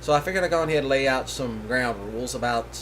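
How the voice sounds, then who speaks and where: A man chats casually to his audience, easygoing and a little rambling. His voice sits at an ordinary pitch. A faint hiss lies beneath it. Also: quick pace, a bit bright. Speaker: content creator. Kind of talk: casual talk to viewers.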